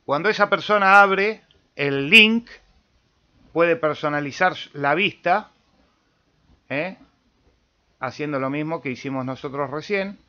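Unhurried Argentine man, slightly dull recording. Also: a little high, sharp tone. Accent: Argentine Spanish. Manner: thinking aloud while demonstrating, checking in with "¿eh?". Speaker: amateur radio video tutorial host. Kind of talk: informal screen-share software tutorial.

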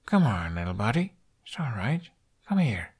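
A man voicing a gentle, calm young man who soothes animals in a soft murmur, in an audiobook. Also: fairly low pitch, normal speed, breathy edge. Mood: soothing.

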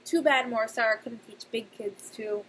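A young woman, a nursery teacher, reading aloud and talking to young children in simple, expressive speech with emphatic pauses. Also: on the high side, poor audio. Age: young adult. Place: small indoor room. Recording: clear background noise, webcam-style room recording.

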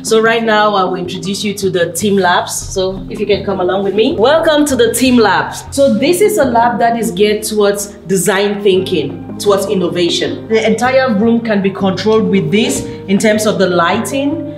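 A woman's voice: mid-range voice, moderate pace, hardly any pauses. A young woman leads a casual tour through university labs, explaining as she walks, peppering her talk with "like". Her voice rings a little in the echoey indoor rooms, with music playing underneath. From Cameroon. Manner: relaxed, explanatory, fillers like "like". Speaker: PhD student giving a campus tour. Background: background music.

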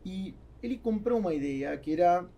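Latin american guy w spanish accent, creaky voice, chatting on a podcast, some noise behind him. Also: high voice, expressive, normal speed, warm tone. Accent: Spanish-speaking Latin American. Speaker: podcast guest, entrepreneur. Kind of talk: casual chat. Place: small foam-treated podcast studio. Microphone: close studio mic on boom arm.

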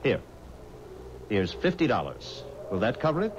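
An actor playing a mysterious, insistent stranger in a 1970s radio drama, speaking in terse, deliberate, imperious phrases. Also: male voice, mid-range voice, wildly swooping pitch. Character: insistent, mysterious male stranger ordering his own coffin. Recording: dull, noisy old radio recording.

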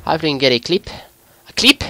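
A man putting on an Australian accent as an excitable, sweary bloke giving live video game commentary in a voice pushed higher than usual. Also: racing, slight background noise, heavily acted. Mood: focused, chatty. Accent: put-on Australian. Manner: excitable, sweary, breaking into giggles at himself. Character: excitable Australian bloke gamer, jokey larrikin.

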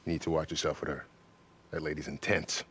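A crude, easygoing camp buddy in a video game. His voice is deep and his talk slangy and casual, with faint noise behind it. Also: flat delivery, fairly quick, a bit bright. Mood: warning. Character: laid-back, crude, joking male camp buddy.

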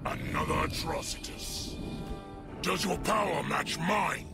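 Game villain casting: high-pitched, gravel-throated alien warlord, grandiose, snarling threats, loud music behind. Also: male voice, unhurried. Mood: menacing, furious. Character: raging, vengeful alien warlord of the Red Lanterns. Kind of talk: video game character acting.

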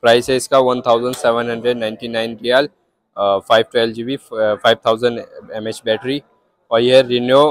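Man flatly reading out phone prices. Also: mid-range voice, low-quality recording. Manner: flat, repetitive price listing. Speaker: vlogger showing phone prices. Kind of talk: casual walk-through shop tour. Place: large indoor shopping mall.

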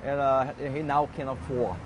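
Hesitant Brazilian restaurant owner. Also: male voice, medium pitch, little pitch movement, moderate pace, a little hiss or hum. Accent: Brazilian Portuguese. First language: Portuguese. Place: small restaurant indoors.

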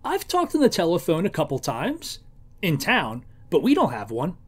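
A naive thirteen-year-old boy, played by a man reading aloud, speaks earnestly and a little defensively. It is a storybook voice, fairly high and animated in its pitch. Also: moderate pace, husky, full character voice. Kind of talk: audiobook-style character reading.

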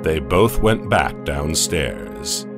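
A man narrating a horror audio comic in a slow, dramatic storytelling manner. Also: rumbling low, lively intonation, music in the background.

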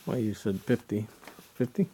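A man helping out at a shop bench, chatting casually and cracking quick jokes. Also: on the low side, noisy background. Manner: jokey, quick quips. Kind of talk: casual chat while working. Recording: shop clatter of tools behind.

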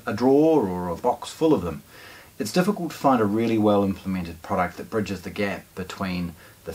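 A New man in his forties with a fairly low voice gives an informal product walkthrough. His pitch moves around a lot as he talks to the camera in a plain-spoken way. There is clear noise in the room recording. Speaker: shop product presenter. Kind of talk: casual unboxing talk to camera. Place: indoors, small showroom with shelves.

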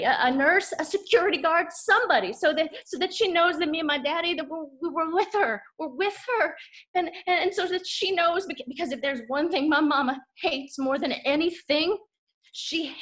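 An actress playing an anxious, devoted daughter in a theatrical monologue, speaking haltingly and emotionally. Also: female voice, high-pitched, fairly bright tone. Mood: pleading, anguished. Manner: halting, repeating words, emotional. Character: anxious, devoted Southern daughter kept from her mama's bedside.

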